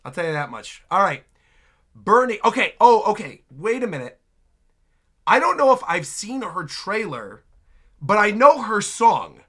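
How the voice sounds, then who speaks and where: Animated man reacting to game trailers. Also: sits high, a little slow, slightly rough. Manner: animated, exclaiming, playful mock outrage. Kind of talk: casual reaction commentary.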